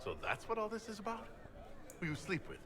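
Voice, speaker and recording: An actor playing a direct, earnest heroic leader in a video game. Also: male voice, sits high, huge pitch swings, a little fast, a crowd in the background. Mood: concerned.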